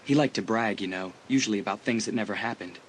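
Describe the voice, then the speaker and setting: A man's voice: fairly low pitch, fast, slight background noise, natural voice. A young man playing an easygoing, teasing teenage school athlete in an audiobook dramatisation, chatting casually. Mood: grave, confiding.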